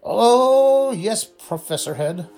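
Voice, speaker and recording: A man reading aloud and voicing a mischievous male poltergeist with a crackling, oily, wheedling voice and a drawn-out, sly delivery. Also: high voice, lively intonation, gravelly, a little mellow, faint music behind, voice pushed up, exaggerated voice. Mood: gleeful.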